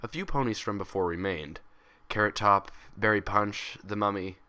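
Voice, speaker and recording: Low, very bright-voiced male storyteller. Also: moderate pace, clean tone. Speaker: fan fiction reader. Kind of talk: expressive scripted story narration.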